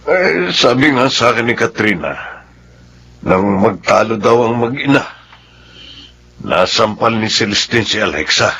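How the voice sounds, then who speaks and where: An actor playing a sympathetic, confiding friend in a Filipino radio drama, with a husky voice. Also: male voice, fairly low pitch, very animated, measured pace, long pauses, bright, forceful tone, exaggerated voice. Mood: consoling, confiding.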